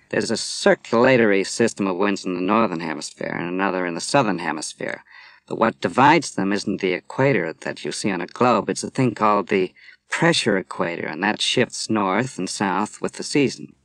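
A man voicing a steady naval commander. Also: low voice, dry recording. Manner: measured, patient explaining. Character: calm, steady middle-aged male naval commander.

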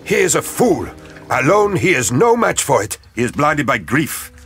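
An actor playing a proud, hot-blooded space marine in an audio drama, speaking in a forceful, declamatory way. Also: male voice, on the high side, big pitch swings, moderate pace, soft background music. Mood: scornful, heated.

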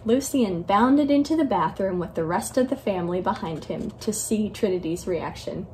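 A young American woman reading a children's story aloud at home as a library storyteller, expressive and carefully enunciated. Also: medium pitch, normal speed, slight background noise, rough recording. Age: young adult. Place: living room at home, indoors.

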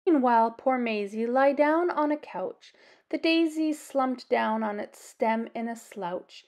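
A woman reading a children's picture book aloud as a storyteller, with an expressive, deliberate delivery. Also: fairly high pitch. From Canada.

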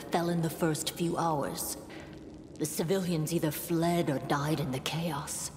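A resolute woman commander in a fantasy game, speaking formally and gravely in a lowish voice. Her words ring through a reverberant hall with music clearly behind. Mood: grim, businesslike. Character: stern, resolute woman commander of crusader warriors. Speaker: military commander.

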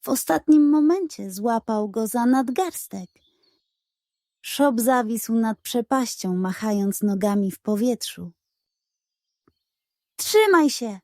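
Slow, mellow woman storyteller. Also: on the high side, no echo, studio quality. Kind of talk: scripted narration of a children's detective story.

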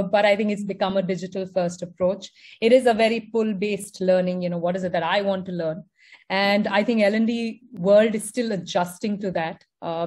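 A woman's voice: medium pitch, darkish tone. A woman, moderating an online panel, affirming speakers and handing over the questions. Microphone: laptop video call.

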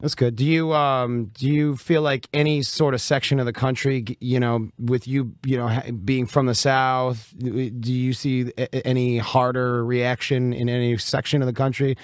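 A man with a raspy voice hosting a casual radio interview in a joking, chatty way. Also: mid-range voice, little pitch movement, normal speed, hardly any pauses, no room sound, studio quality. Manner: joking, laughs often.

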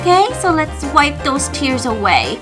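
A woman voicing a gentle, motherly young mom in a doll play story, soothing and a bit fretful. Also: high-pitched, big pitch swings, a little slow. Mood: consoling. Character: kind pregnant young mom, gentle, budget-minded. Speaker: doll story narrator-voicer. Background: children's music.

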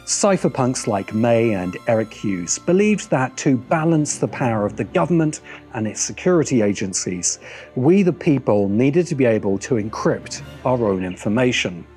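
A middle-aged man narrating a scripted video essay in a measured way, with deliberate emphatic pauses. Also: medium pitch, sing-song, darkish tone, faint music behind.

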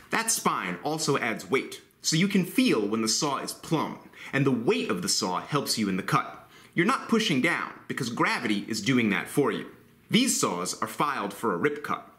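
A man's voice: mid-range voice, bright, forceful tone. A man in his forties hosting a woodworking video, giving a blunt, enthusiastic tool review to camera in his workshop. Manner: enthusiastic, blunt, jokey asides. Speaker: woodworking channel host. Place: woodworking shop. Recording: roomy workshop echo.

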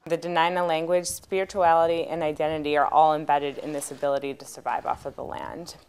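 A woman with a low, smooth and clear voice speaks as a fisheries specialist. She explains her work in a calm, measured way in a documentary interview. She sounds like a young adult. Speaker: subsistence resource specialist.

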